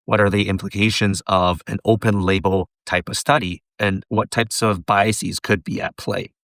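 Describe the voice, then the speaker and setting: Man, hoarse, lowish pitch, teacherly, asks the listener questions, dry close recording. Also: fairly even intonation, moderate pace, a bit bright, dry recording. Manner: teacherly, poses questions to the viewer. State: hoarse from laryngitis. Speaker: pharmacist and educator. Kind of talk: tutorial lecture.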